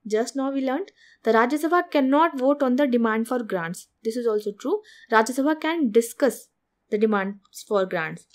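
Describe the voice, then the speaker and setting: A woman's voice: on the high side, lively intonation, normal speed. A woman, going through exam questions like a teacher, reading each statement and then saying whether it is true. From India. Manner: methodical, reads each option then rules on it. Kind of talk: explaining exam questions over slides.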